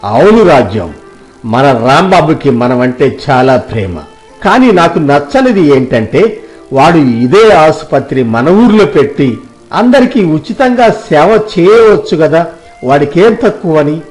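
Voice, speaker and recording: An elderly village patriarch acted by a man speaks in a fairly high voice, earnest and oratorical. He scolds with love, like an idealist in a dramatized moral tale, with music playing underneath. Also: very animated, a little slow, a little mellow. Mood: reproachful. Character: elderly village father, idealistic, scolding yet loving landowner. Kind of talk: dramatized moral story acting.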